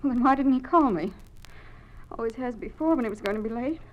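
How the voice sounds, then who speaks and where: An actress playing an anxious, frantic wife in a 1950s radio drama. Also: female voice, a little high, lively intonation, fairly quick, dull audio, natural voice. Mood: frantic worry. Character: anxious, frantic wife searching for missing husband. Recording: lightly hissy 1950s radio recording.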